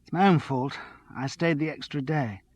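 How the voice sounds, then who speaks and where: A man's voice: fairly high pitch, normal speed, no echo, pitched above the performer's own voice, close to an everyday voice. A man putting on a giddy, tipsy young woman. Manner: breathless, chattering, excitable.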